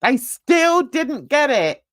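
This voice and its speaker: A woman's voice: high-pitched, sing-song, slow pace, fairly bright tone, dry recording, studio quality. An older woman, ranting bluntly and indignantly while reading a livestream chat and talking to viewers. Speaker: YouTube commentator.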